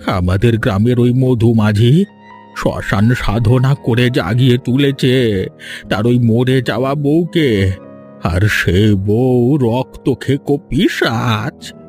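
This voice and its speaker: Man as a frightened old villager, slow and halting. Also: mid-range voice, a little mellow, faint music behind, lightly acted. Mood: fearful, confessing. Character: frightened captive old villager confessing a dark secret. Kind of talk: dramatic cartoon voice acting.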